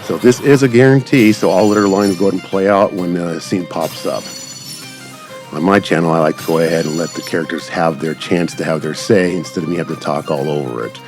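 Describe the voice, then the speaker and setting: Casting: low-voiced male gaming host, chatty and rambling, with game music behind him. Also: fairly quick. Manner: rambling, addresses viewers directly. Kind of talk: casual gameplay commentary.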